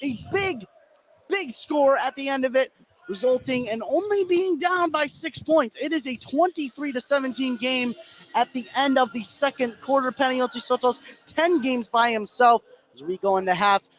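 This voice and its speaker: A man's voice: extremely high pitch, expressive, normal speed, low-quality recording. A man doing live play-by-play basketball commentary on the radio.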